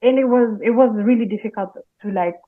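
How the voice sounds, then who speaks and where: A woman's voice: fairly high pitch, a little fast. Phone-like audio, Tanzanian woman interviewee. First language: Swahili. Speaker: interviewee, Swahili language teacher. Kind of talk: conversational interview answers. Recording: muffled, phone-like band-limited call audio.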